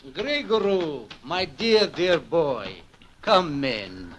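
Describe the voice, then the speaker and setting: A man's voice: a little high, very animated, drawn-out words, a bit bright, a little hiss or hum, heavily acted. An actor playing an effusive, fussy elderly prince and prime minister, fatherly but insistent, in an old-time radio drama. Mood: effusive, delighted. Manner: courtly, fussy, exclaiming.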